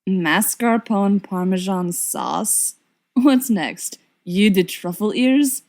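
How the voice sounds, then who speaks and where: A woman's voice: medium pitch, slow, darkish tone. A woman reading a storybook part as a cheeky, melodramatic young man who teases and plays mournful. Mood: teasing. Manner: theatrical, mock-mournful, teasing.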